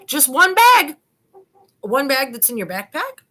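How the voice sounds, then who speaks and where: A woman's voice: high voice, sing-song, measured pace, bright, forceful tone, pitched above the performer's own voice, exaggerated voice. Woman as male detective, patient, probing, hesitant explanatory phrasing, dramatic transcript reading. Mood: questioning.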